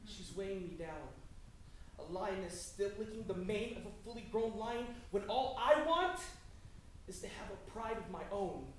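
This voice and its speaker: A man's voice: high-pitched, animated, normal speed, distant mic, a little hiss or hum. A young man performing a spoken word poem live, rhythmic and emphatic, trading lines with a partner. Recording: echoey room heard from afar.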